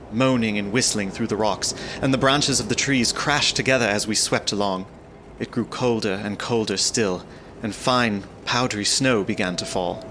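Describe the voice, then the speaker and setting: A man's voice: lowish voice, a bit bright, a little hiss or hum, close to an everyday voice. An actor playing an earnest, apprehensive young English clerk narrating his journal in a gothic audio drama.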